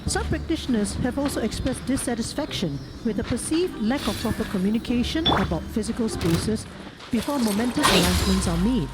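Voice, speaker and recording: A woman with a Singaporean accent reading a formal prepared speech as a member of parliament. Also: medium pitch. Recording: game music over parliamentary speech audio. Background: loud music from a video game.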